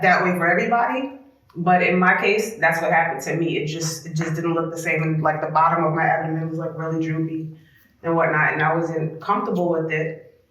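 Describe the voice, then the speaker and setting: A woman's voice: fairly low pitch, fairly bright tone. A woman born in Trinidad, a spa co-owner, chatting frankly and reflectively in a casual interview. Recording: echoey room.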